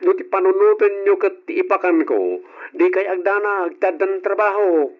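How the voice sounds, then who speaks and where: A bossy, lecturing young elder brother in an old radio drama, his voice pitched high for a man. He scolds his younger sibling about work. The recording sounds dull and boxy like old broadcast audio. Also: lively intonation, normal speed. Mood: earnest.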